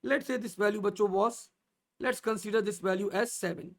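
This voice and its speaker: A man teaching an online coding class, asking the class questions and answering them himself as he steps slowly through each value. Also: high-pitched. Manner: slow and repetitive, stepping through values. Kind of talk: online coding lesson in mixed Urdu and English.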